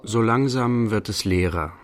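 An actor narrating a radio play as an observer of an art-world party. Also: male voice, fairly low pitch, unhurried, soft background music, close to an everyday voice. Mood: detached, observing.

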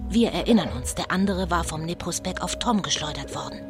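A woman's voice: fairly low pitch, natural voice. Casting: actress as sensation-hungry female reporter, dramatic live report, children's spooky radio drama, music underneath. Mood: suspenseful reporting. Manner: dramatic, deliberate. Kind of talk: radio play acting as live on-the-spot report.

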